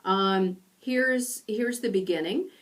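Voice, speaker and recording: A middle-aged woman from rural Indiana giving a recorded online lecture from her home study, explaining slowly with pauses. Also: mid-range voice, recorded from a distance. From rural Indiana, USA. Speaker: university lecturer.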